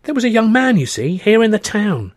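A man's voice: high voice, big pitch swings, fairly quick, no echo, clean studio sound, pitched above the performer's own voice. A man voicing a kindly, fussy little old Punch and Judy man, eager and anxious in his chatter. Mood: hesitant, regretful.

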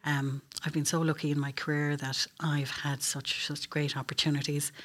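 A woman's voice: sits low, flat delivery, moderate pace, fairly bright tone. A middle-aged woman with an Irish accent, explaining things earnestly as a guest in a conversational interview. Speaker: air traffic control officer, interview guest.

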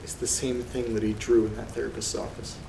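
A man hosting a horror audio drama, reading letters aloud in a quiet, flat, gravelly way. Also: mid-range voice, fairly quick. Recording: roomy echo with loud rain noise. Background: rain and thunder.

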